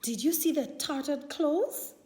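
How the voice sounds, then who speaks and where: Woman playing a shoemaker's wife, kindly, grateful, high mellow voice, children's storybook. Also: unhurried. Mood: grateful, eager.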